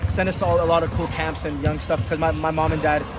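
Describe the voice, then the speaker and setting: A man's voice: a little high, level tone, quick pace, over loud music. A man, chatting casually as a player being interviewed. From Vancouver, Canada. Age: 20s. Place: indoor basketball gym. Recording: very noisy gym, bouncing balls.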